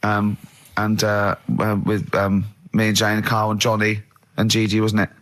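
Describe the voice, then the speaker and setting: A man's voice: on the low side, completely flat delivery, unhurried, fairly bright tone. A man in comic radio banter, teasing and giggly, often acting out little dialogues. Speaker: radio show co-host.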